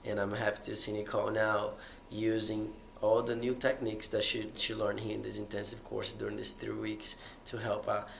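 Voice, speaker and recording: A young man asking questions in a loose, halting way full of fillers. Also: lowish voice, completely flat delivery, gravelly. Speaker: interviewer. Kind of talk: casual conversation. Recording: echoey room, faint noise, voice away from the mic.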